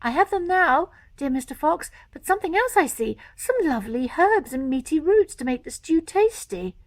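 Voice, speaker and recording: A woman voicing an anxious, excitable fox wife in a storybook, exclaiming dramatically. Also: sits high, normal speed, dry recording, voice pushed up. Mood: delighted, brisk.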